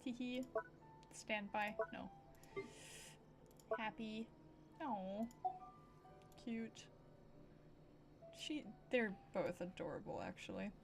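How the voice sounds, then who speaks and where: A woman's voice: mid-range voice, drawn-out words, pauses often. A woman streaming a video game with casual commentary, playfully muttering short asides to herself. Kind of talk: casual live gameplay commentary. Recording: game music under the voice.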